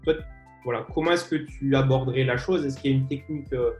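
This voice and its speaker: A man's voice: medium pitch, fast talker, a little mellow, echoey room, soft background music. A man hosting an interview, asking long questions with many fillers and hesitations. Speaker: host.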